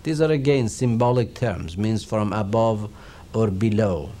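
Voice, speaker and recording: A middle-aged man with a Persian accent teaches and explains scripture in a slow, measured, reverent manner. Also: on the low side, dark tone. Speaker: Quran teacher. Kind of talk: recorded religious lecture.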